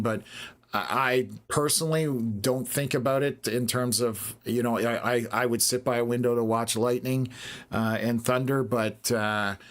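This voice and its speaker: A laid-back man hosting a weather livestream, talking to his viewers in an unhurried way. His pitch sits in a typical middle range for a man. He uses plenty of filler words as he thinks out loud. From Canada. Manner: unhurried, plain-spoken, many filler words. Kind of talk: casual livestream chat answering viewer questions.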